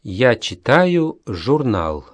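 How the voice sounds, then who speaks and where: Polite young Tsubasa, slowly. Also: male voice, medium pitch, very animated, no echo, exaggerated voice. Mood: plain. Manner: carefully enunciated, deliberate. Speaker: dialogue reader in a language course. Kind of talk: slow, clear textbook dialogue reading.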